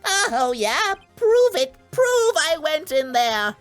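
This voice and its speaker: A man's voice: extremely high pitch, sing-song, slow pace, a bit bright, soft background music, pitched above the performer's own voice, exaggerated voice. A man voicing a shifty, hot-tempered male murder witness in a video game, combative and prone to stammering. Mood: defiant. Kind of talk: video game character dub.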